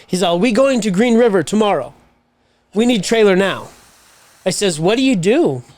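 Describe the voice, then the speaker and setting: A man's voice: high-pitched, animated, moderate pace, darkish tone. Chatty man telling a long story. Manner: swearing, rambling anecdote with quoted voices. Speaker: podcast co-host. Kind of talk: casual storytelling chat.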